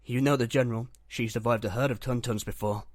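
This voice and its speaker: A steady, reassuring medic aboard a starship, acted by a man. He has a mid-pitched voice and a matter-of-fact delivery, recorded very dry for a roleplay series. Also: fast talker, no echo, lightly acted. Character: calm, reassuring male ship medic. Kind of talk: Minecraft roleplay web series voice acting.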